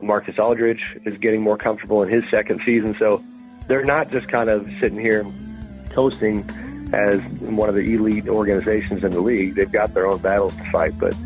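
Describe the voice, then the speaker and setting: A man's voice: lowish voice, little pitch movement, a little fast, soft background music, rough recording. A man giving analytical sports commentary in a conversational, emphatic way. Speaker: sports podcast host.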